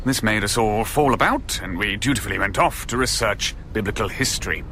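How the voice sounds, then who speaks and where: A man's voice: on the low side, fairly quick, bright, forceful tone, a little hiss or hum. An actor playing a male comedian who narrates his film-making memories in a radio drama, wry and emphatic. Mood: wry, reminiscing.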